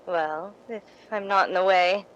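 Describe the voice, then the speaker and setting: A woman's voice: lowish voice, steady tone, a little slow, fairly bright tone, lo-fi sound. A woman acting an earnest, humble young theater devotee in a 1950s-style radio drama, with a modest, hesitant way of speaking. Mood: shy, grateful. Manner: modest, hesitant, wistful storytelling.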